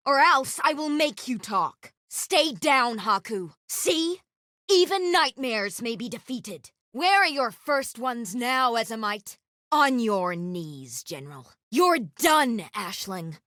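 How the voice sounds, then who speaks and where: A woman playing a stern, vengeful warrior guardian of a secret order in a video game, speaking in formal, measured, grave phrases. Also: mid-range voice, a bit bright, no echo, clean studio sound. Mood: commanding, scornful. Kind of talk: scripted game character voice lines.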